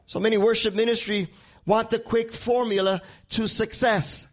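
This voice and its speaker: Man, high-pitched, Spanish accent, native Spanish speaker, preaching, stumbling repeats. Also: level tone, normal speed. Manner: repeats words while building a point. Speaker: pastor. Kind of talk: sermon.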